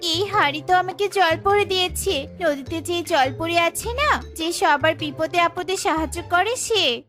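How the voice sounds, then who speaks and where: Woman doing a tiny kindly bird mom in a kids cartoon, super high bright voice, earnest. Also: unhurried, soft background music. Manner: polite, earnest. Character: honest, kindly little mother bird selling food. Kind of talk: children's cartoon moral story acting.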